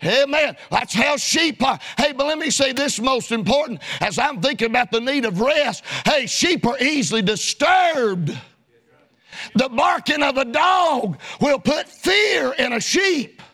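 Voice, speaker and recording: A man delivering a fiery sermon in a church. His voice is very high and bright, and he shouts in a rhythmic preaching cadence, breaking it up with frequent amens. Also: animated, unhurried.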